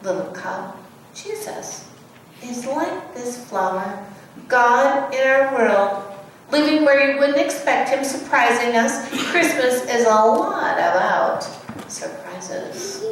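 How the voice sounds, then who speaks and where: A woman voicing a gentle, patient mother bear who explains things reassuringly in a children's storybook reading. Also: a little high, lively intonation, slow pace. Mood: tender. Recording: echoey room, distant mic, background noise.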